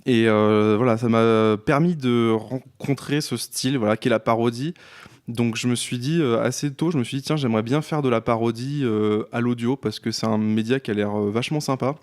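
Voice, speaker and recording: A man's voice: mid-range voice, normal speed, a little mellow. Informal man telling a personal anecdote. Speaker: guest, audio parody creator.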